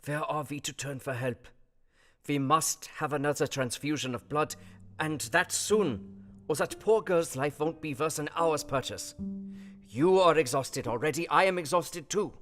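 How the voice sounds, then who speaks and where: An actor playing a stern older professor in an audio drama, grave and formal, with urgent emphasis. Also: male voice, fairly high pitch, measured pace, a bit bright, faint music behind. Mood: worried, urgent. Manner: formal, emphatic, old-fashioned phrasing.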